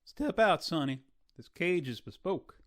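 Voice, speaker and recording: An actor playing an obliging western deputy in a radio drama, deferential and folksy. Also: male voice, on the high side, animated, a little slow, background noise. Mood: dutiful. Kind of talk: old-time western radio drama acting.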